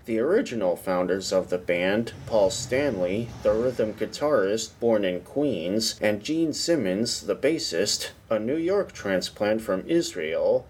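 A man's voice: mid-range voice, darkish tone, slight background noise, low-quality recording. A man hosting a podcast, asking polite questions and reading scripted narration. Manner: polite, addresses guest as sir. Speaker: podcast host and interviewer.

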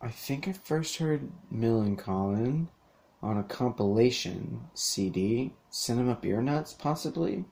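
A man's voice: medium pitch, lively intonation, measured pace, creaky, a little mellow. A man in his thirties talking on his own in a rambling solo monologue, with lots of fillers, restarts and casual swearing. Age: 30s. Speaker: podcast host.

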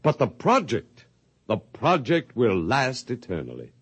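An actor in a 1950s radio drama playing a smug, condescending bearded scientist with formal, smooth diction. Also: male voice, medium pitch, wildly swooping pitch, a little slow, no room sound, exaggerated voice. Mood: fervent. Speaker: head of the archive project.

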